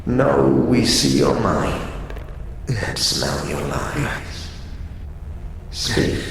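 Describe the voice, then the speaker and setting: Slow, cavernous, gravelly hive-mind monster. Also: male voice, mid-range voice, sing-song, recorded from a distance, a little hiss or hum, exaggerated voice. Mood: commanding, suspicious. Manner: slow, imperious, accusing. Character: menacing many-voiced hive mind of telepathic rats. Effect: cavernous reverb on the voice. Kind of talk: fantasy audio drama acting. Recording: long echoing reverb, music underneath.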